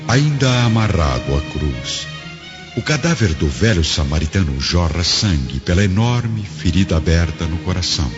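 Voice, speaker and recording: A man's voice: sits low, very animated, normal speed, muffled recording. A man narrating a scripted radio drama in a solemn, theatrical, emphatic manner. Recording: band-limited sound, loud music underneath.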